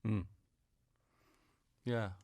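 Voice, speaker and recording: A man's voice: drawn-out words, mellow, no room sound. A man in a casual podcast discussion, talking in informal, loosely rambling phrases.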